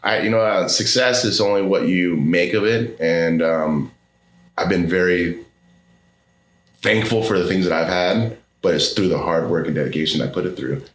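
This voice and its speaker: Low-voiced man in his forties, earnest. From California. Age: 40s. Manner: earnest, halting with fillers and restarts. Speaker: podcast guest. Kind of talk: casual interview. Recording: echoey room, faint background noise.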